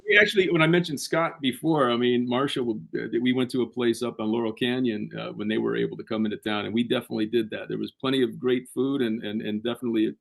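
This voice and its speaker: A man's voice: mid-range voice, fairly even intonation, quick pace, creaky, few pauses. A man, a guest author, talking in a casual interview with many false starts and repeated words.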